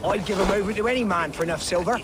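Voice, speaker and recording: An actor playing a fussy, grumbling, easily alarmed merchant in a video game. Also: male voice, high-pitched, very fast, heavy background noise, lightly acted. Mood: grudging, businesslike.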